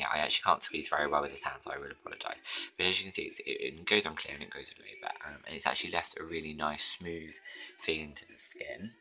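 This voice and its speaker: A man with a husky, hoarse voice chatting in a rambling way through his get-ready-with-me routine, often dropping to a whisper. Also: a bass voice, sharp tone. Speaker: beauty vlogger.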